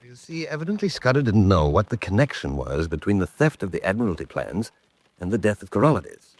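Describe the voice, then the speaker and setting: A low-voiced, wry fugitive gentleman. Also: big pitch swings, a little fast, husky, dry recording. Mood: earnest, pressing. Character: wry, urgent gentleman on the run, wrongly wanted. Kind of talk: old-fashioned radio drama acting.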